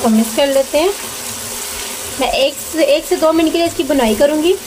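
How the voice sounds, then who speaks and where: Lady w really high voice walking thru a recipe step by step, noisy kitchen, pan sizzling behind her. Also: moderate pace. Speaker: home cooking show host. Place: home kitchen at the stove. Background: frying, sizzling, stirring.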